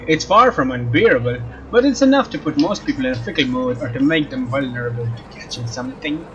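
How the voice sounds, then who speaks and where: A man narrating a visual novel as a let's player, expressive and informal, with casual asides. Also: mid-range voice, moderate pace, pauses often, slight background noise. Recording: dull lo-fi sound, music behind. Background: game music with guitar.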